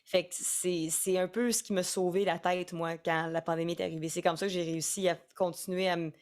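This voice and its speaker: A woman's voice: lowish voice, fairly even intonation, brisk, darkish tone. A young woman with a Quebec French accent, a singer-songwriter chatting casually in an interview and often restarting her words.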